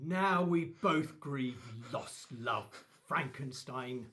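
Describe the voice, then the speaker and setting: A slow, grave monster of a radio play, acted by a man, bitter toward his maker. His deliberate speech swings widely in pitch and carries grief and menace. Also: lively intonation, sharp tone, exaggerated voice. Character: grieving, vengeful male monster created by Frankenstein.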